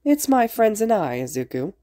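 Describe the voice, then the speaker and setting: A woman voicing a suave, nonchalant male villain in a story, theatrical and gently chiding. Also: fairly low pitch, expressive, fast, dry recording, studio quality. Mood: amused, chiding.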